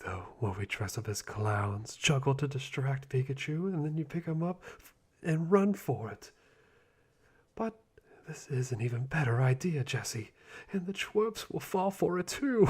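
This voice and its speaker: A man playing a vain, whiny and childishly enthusiastic villain sidekick in an ASMR roleplay, with a breathy, theatrical delivery. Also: mid-range voice, darkish tone, full character voice. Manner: flamboyant, dramatic, petulant.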